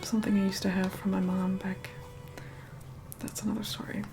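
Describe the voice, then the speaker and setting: A woman playing an aloof, reluctantly caring vampire woman in an ASMR roleplay, soft-spoken and breathy, often whispering, slow and condescending. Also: medium pitch, flat delivery, background noise, natural voice. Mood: bossy, aloof. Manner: slow, condescending, grudgingly kind. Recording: loud music behind the voice. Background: music.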